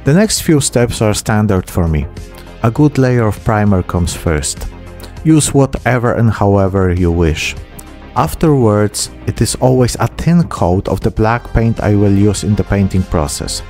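A man's voice: lowish voice, dark tone. A man in his thirties giving a casual, self-deprecating narrated hobby tutorial, recorded close on a desk microphone in a small room. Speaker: host of miniature painting channel. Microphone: close desk microphone with pop filter.

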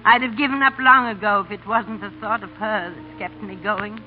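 An actress playing a frightened, downtrodden middle-aged mother, halting and pleading, in a 1930s radio melodrama. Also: mid-range voice, fairly even intonation, faint music behind, close to an everyday voice. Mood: wistful, sorrowful. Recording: dull 1930s radio broadcast.

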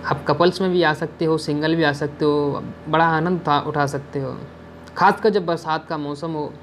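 A young man talks chattily to his viewers while out on a hill road, pointing out views and handing out safety tips. His voice sits a little higher than most men's, with a faint hiss of outdoor air behind him. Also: steady tone, normal speed. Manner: chatty, addresses viewers, gives safety advice. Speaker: travel vlogger. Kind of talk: narrated travel vlog.

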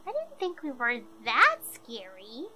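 A woman voicing a spirited, feisty young female royal in an animated drama, frank in manner. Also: fairly high pitch, a little slow, very noisy. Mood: frank, sympathetic.

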